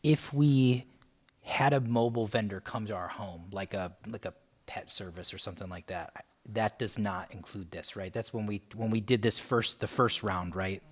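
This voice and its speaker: A man's voice: lowish voice, normal speed. A man village trustee asking casual, inquisitive clarifying questions at a council meeting.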